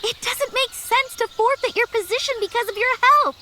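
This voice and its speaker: A woman's voice: very high voice, moderate pace, a little hiss or hum. A woman playing a headstrong young noble girl, stubborn and devoted, in an anime-style game. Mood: earnest, pleading. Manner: animated, stubborn, emphatic.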